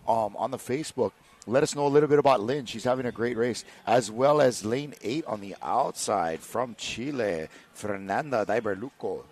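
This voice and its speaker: A man's voice: mid-range voice, darkish tone. A man from Hawaii calling a race as a commentator, upbeat and enthusiastic. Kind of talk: live sports commentary.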